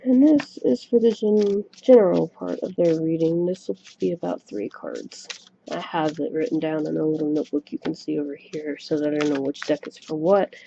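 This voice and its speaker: A soft-spoken woman giving a tarot reading to camera at home, chatty and a little self-conscious, recorded on a webcam microphone. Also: low voice, fairly even intonation, moderate pace, gravelly, slight background noise. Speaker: tarot reader. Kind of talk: casual solo reading to camera. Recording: dull lo-fi webcam mic recording.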